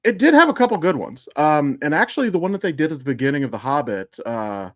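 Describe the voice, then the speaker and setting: A man's voice: fairly high pitch, sing-song, fast talker, no echo. Casual man podcasting in a dry close sound. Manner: informal, profane. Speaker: podcast co-host. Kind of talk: casual fan discussion.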